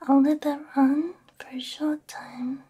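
A woman whispering gently and breathily in an ASMR roleplay as a caring, soothing technician, close to a binaural microphone. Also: high voice, fairly even intonation, slow. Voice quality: breathy, mostly unvoiced whisper. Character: caring technician repairing a robot's ears.

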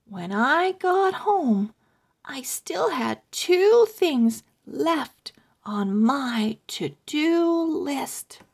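A woman reading a children's book aloud as host, expressively and with deliberate word-by-word phrasing for young readers. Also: sits high, sing-song, creaky.

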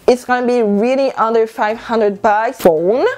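A woman's voice: on the high side, unhurried, a little hiss or hum. A young woman whose first language is French, talking casually to camera in a room and gesturing as she speaks. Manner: animated, gesturing with hands while standing. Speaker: vlogger. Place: indoor room with clothing rack. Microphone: lapel mic.

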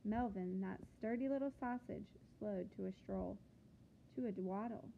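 A woman reading a picture book aloud to children like a teacher, with expressive, deliberate phrasing and questions to the listeners. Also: medium pitch, gravelly. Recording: phone-like thin sound with background noise.

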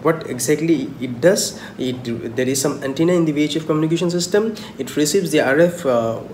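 A man's voice: on the high side, a little mellow. Young male lecturer in an echoey room. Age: young adult. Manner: halting, repetitive, poses questions and answers them. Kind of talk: informal technical lecture. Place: small indoor room at home. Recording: roomy echo, clear background noise.

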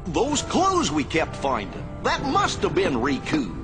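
An actor playing a kindly, goofy cartoon dog sidekick in a video game, puzzled and drawling. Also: male voice, a little high, wildly swooping pitch, unhurried, music in the background.

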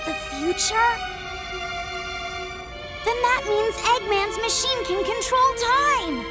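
A woman voicing a young, eager boy fox sidekick in a video game, excitable and childlike. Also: very high-pitched, slow pace, over loud music, heavily acted. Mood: excited. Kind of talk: video game cutscene acting.

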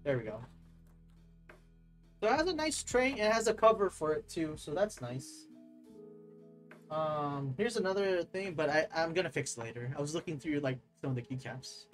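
Young male streamer, loose and chatty, a bit high-pitched, soft music bed. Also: measured pace, pauses often. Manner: rambling, excitable, reads chat aloud, laughs often. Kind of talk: casual live-stream chat. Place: desk at home, overhead camera.

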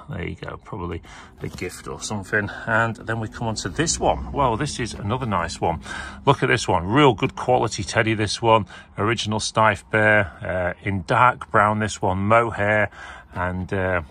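Chatty bright-voiced auctioneer. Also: male voice, mid-range voice. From England. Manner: chatty, rambling, with many fillers. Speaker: auctioneer showing lots. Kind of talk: casual video walkthrough. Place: indoors beside a leather armchair.

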